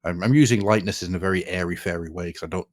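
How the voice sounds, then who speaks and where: A man's voice: low voice, quick pace. A man in his forties hosting a casual podcast chat, rambling, sweary and wryly self-aware. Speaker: podcast host.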